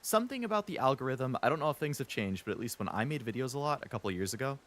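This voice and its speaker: A man streaming a game, chatting casually and rambling a bit, stuttering now and then when he talks fast. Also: medium pitch, expressive, a bit bright. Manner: rambling, chatty, lots of fillers.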